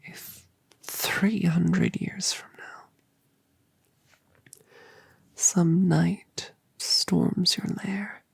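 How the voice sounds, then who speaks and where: Colossal doting dragon mother, a woman whispering her: breathy, very slow, hushed ASMR. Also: lowish voice, level tone, no echo, full character voice. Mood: tender. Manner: soft, slow, tender reassurance. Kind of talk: ASMR roleplay audio.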